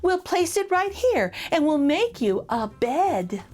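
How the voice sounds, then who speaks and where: A woman voicing a calm, reassuring mother shark in a storybook, speaking in a soothing, confident way. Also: high voice, slow, exaggerated voice. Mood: gentle.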